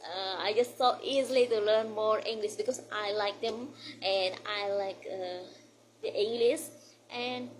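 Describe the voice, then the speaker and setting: A woman's voice: medium pitch, a little hiss or hum. A woman with an Indonesian accent talking casually as a vlogger, informally mixing Indonesian with English phrases. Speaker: vlogger sharing English-learning tips. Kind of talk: casual vlog chat.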